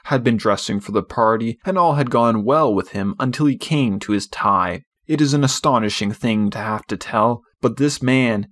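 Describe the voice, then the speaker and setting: A man's voice: medium pitch, moderate pace, hardly any pauses, a bit bright. A man reading a classic storybook aloud as an audiobook narrator, in scripted narration.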